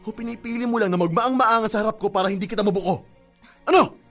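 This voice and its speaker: Man acting high-pitched, wounded young Filipino boyfriend over music. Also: quick pace, natural voice. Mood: angry, accusing. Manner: accusing, insistent, punctuating with sharp questions. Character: hurt, jealous, proud young boyfriend confronting his girlfriend. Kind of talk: radio drama acting. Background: dramatic background music.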